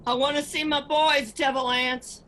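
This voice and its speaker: An actress playing a bold, indignant mountain mother in a radio drama, speaking forcefully with an Appalachian accent. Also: high voice, steady tone, normal speed. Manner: forceful, pleading, accusing.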